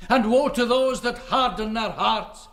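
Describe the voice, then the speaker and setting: An actor playing a righteous, defiant young Scottish Presbyterian minister in a radio drama, declaiming in biblical oratory. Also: male voice, very high-pitched, a little slow, fairly bright tone, exaggerated voice. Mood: stern, fervent. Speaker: preacher. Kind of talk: radio drama sermon and exhortation.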